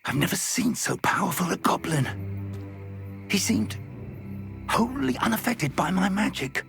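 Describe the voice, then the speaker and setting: A scholarly older wizard from a fantasy game. He speaks slowly and earnestly, with gentle formality, a creaky edge and expressive rises and falls. Music sits behind the voice. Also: high voice, full character voice. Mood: awed, concerned. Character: kindly, scholarly older male wizard professor. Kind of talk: cinematic video game acting. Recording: music under the voice.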